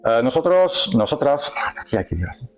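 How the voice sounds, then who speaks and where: A man's voice: medium pitch, sing-song, brisk, faint music behind. A man lecturing a group in a language that is not his first, stopping often to search for words and correct himself. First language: not Spanish. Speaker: workshop speaker. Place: meeting hall with an audience.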